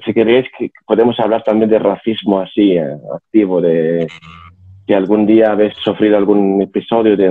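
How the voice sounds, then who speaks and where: A young man speaking on a video call, joking and halting, with many restarts. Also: lowish voice. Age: young adult. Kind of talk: casual chat on a video call. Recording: tinny phone-like video call audio.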